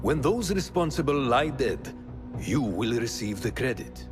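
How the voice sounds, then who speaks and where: A man playing a grave, cautious assassin mentor in a video game, speaking with deliberate authority. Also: mid-range voice, sing-song, music in the background. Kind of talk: dramatic video game acting.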